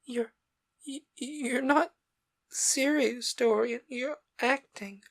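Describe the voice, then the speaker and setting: A man reading a novel aloud, voicing a heartbroken young actress who pleads in high, tearful whispers. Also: slow, full character voice. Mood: stunned. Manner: tearful, breathy whispering pleas. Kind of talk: audiobook character voice.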